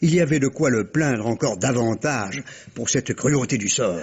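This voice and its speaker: Wry, husky, animated police inspector. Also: male voice, medium pitch. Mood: dryly amused. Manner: measured, theatrical, teasing explanations with rhetorical questions. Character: wry police inspector explaining a murder case. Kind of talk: 1950s French radio mystery acting. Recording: dull, muffled vintage radio recording.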